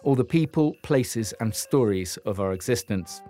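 A man hosting a podcast, reading scripted narration in a measured way, then interviewing a guest. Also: medium pitch, animated, warm tone, soft background music. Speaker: podcast host.

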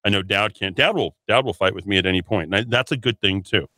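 A man's voice: on the low side, quick pace, bright, forceful tone, no room sound. A man hosting a solo talk radio show, combative and rambling, with a sniffly runny nose. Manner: combative, rambling, repeats words and restarts. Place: radio studio.

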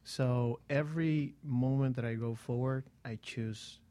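A guest on a radio talk show, explaining slowly and step by step, repeating phrases. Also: medium pitch, steady tone, dark tone.

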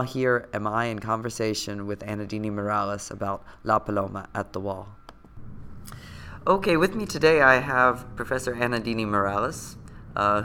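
A man hosting a podcast, hoarse from a cold, reading a scripted intro and then asking questions with many fillers. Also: on the low side, pushed and bright. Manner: hesitant with many fillers when unscripted. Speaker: podcast host.

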